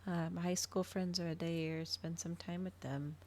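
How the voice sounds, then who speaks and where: A woman with a lowish voice and plenty of vocal fry chats casually on a livestream. She rambles along, thinking out loud as she talks to her viewers. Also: steady tone, measured pace, slight background noise. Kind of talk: casual chat to stream viewers.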